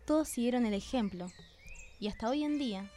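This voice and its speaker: A woman's voice: fairly high pitch, brisk, dark tone, slight background noise. A woman reading scripted narration for a radio drama.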